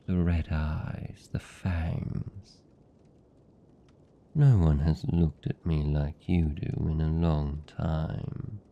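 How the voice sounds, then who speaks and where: Man voicing a very deep, slow British vampire count. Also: highs cut off, full character voice. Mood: wistful, lonely. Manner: soft, slow, soothing, lingering pauses. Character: lonely, tender male vampire count, possessive yet gentle. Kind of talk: intimate ASMR roleplay.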